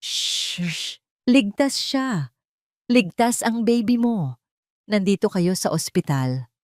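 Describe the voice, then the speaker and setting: Woman playing tender old patriarch, protective and authoritative, animated delivery, audio drama. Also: mid-range voice, slow pace, no room sound, clean studio sound, pitched above the performer's own voice. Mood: soothing. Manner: gentle but authoritative. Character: tender, commanding old billionaire father, protective.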